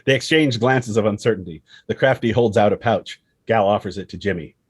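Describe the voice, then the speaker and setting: A man with a husky voice reading dramatic screenplay stage directions as narrator. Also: lowish voice, brisk.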